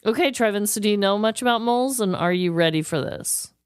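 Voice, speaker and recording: A woman co-hosting a comedy podcast, talking in a chatty, slangy, animated way close to the mic. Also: mid-range voice, brisk, clean tone, no room sound. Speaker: podcast co-host. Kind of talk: casual comedic chat.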